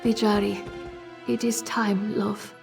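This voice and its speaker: Tender female cat-folk, hushed, creaky, slow, fantasy game dialogue, faint music. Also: medium pitch, little pitch movement. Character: gentle, soothing female Khajiit cat-folk.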